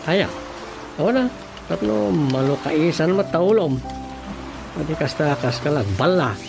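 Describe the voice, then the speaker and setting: A man's voice: fairly high pitch, lively intonation, unhurried, vocal fry. An actor playing a chatty, teasing village man with an Ilocano accent in a radio comedy-drama. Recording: prominent music under the dialogue.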